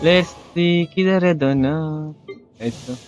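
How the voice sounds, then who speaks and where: A man's voice: sits high, rapid-fire. A man with an Indian accent giving casual live commentary as a game streamer, often repeating words. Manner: mixes Hindi and English, repeats words. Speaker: game livestreamer. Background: game music.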